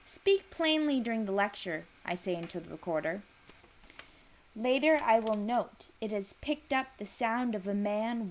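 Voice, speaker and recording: A woman reading a first-person literary piece aloud, with expressive, deliberate delivery and dramatic emphasis. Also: medium pitch, rough recording.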